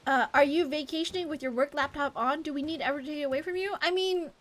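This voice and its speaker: High-voiced playful young woman streamer. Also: fast talker. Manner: playful, chatty, reacting aloud. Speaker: livestreamer. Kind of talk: casual gaming stream chat. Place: at home, live stream. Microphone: streaming microphone.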